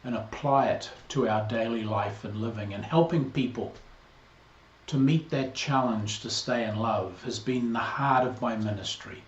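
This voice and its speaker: A man in his sixties with a New Zealand accent, a priest giving a measured, earnest greeting to a parish. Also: mid-range voice. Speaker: priest, incoming interim rector. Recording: roomy, slightly dull recording.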